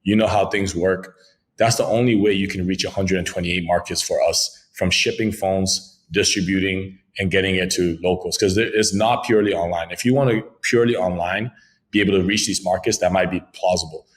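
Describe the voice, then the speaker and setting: A man's voice: deep voice, steady tone, a little fast. A man from Congo, a French speaker, answering podcast questions about his crypto company in fluent, jargon-heavy talk. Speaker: crypto company founder, guest. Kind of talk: podcast interview, business pitch.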